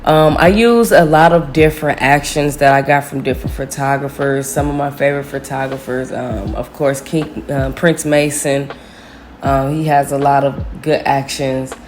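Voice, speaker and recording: A woman with a voice made scratchy by a cold, talking through a photo edit in a relaxed tutorial, full of fillers and asides. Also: extremely low pitch, normal speed. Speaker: photo retoucher. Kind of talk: casual screen-recorded editing tutorial. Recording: echoey room with faint music.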